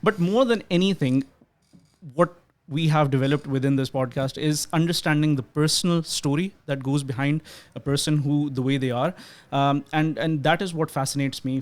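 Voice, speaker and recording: A man hosting an interview podcast, introducing his guest earnestly and asking questions with many fillers and restarts. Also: mid-range voice. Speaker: podcast host.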